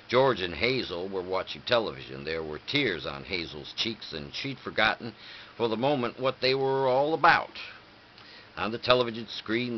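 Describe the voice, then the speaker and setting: A man reading a story aloud as narrator, occasionally stumbling and correcting himself. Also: on the low side. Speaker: reader. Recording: dull lo-fi recording with clear background noise.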